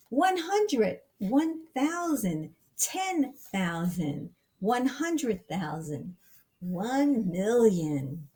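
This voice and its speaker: Slow, slightly husky woman reading to children. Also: a little high, big pitch swings, darkish tone. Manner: deliberate, dramatic phrasing for young listeners. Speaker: storyteller reading a picture book aloud. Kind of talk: expressive children's read-aloud narration.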